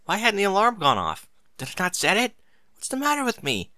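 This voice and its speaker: A man's voice: high voice, huge pitch swings, a little fast, bright, forceful tone, dry recording, clean studio sound, voice pushed up, full character voice. A man voicing a flustered, bossy married mother who exclaims and nags in a storybook reading. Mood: alarmed. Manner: exclaiming, nagging.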